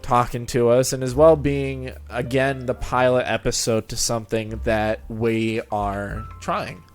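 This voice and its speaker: A man's voice: mid-range voice, flat delivery, a little slow. A man hosting a casual interview, talking in an enthusiastic, rambling way. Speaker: show host and reader of fan fiction audio. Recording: background music. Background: music under the talk.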